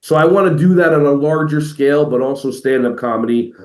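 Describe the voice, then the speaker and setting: Animated man in a roomy-sounding room. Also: on the high side, a little fast. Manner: energetic, jumps into playful quoted voices. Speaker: podcast guest, educator and motivational speaker. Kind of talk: animated conversational interview.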